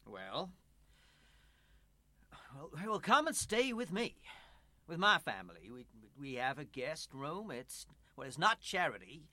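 Kindly male pastor played by a male actor for radio drama: earnest family man, slightly high bright voice, halting phrasing. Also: sing-song, no echo, full character voice. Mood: earnest, pleading. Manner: hesitant, repeating words as he reassures. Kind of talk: radio play acting.

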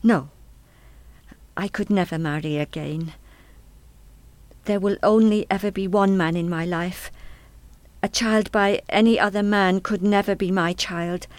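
A woman acting in a radio play as a bereaved wife, measured and insistent, controlled but obsessive about claiming a son. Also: mid-range voice, steady tone, no room sound, lightly acted. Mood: sorrowful.

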